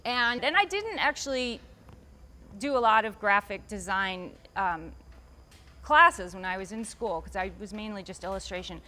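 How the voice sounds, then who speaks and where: A woman's voice: medium pitch, animated, moderate pace, sharp tone. A woman author answering interview questions in a chatty, rambling way, restarting her sentences often and lifting her voice for emphasis.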